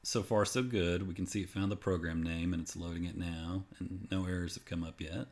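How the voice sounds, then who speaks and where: Male tutorial narrator, low voice, informal and unscripted, walks through steps plainly. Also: steady tone, a little fast, darkish tone. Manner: informal, unscripted, step-by-step explaining. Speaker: tutorial presenter. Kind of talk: casual screen-recorded how-to narration. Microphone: voice-over on a screen capture.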